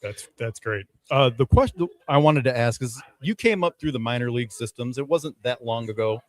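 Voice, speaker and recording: A man's voice: mid-range voice, lively intonation, normal speed. A man putting a casual question to a guest in a live sports interview. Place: live at a baseball fan convention.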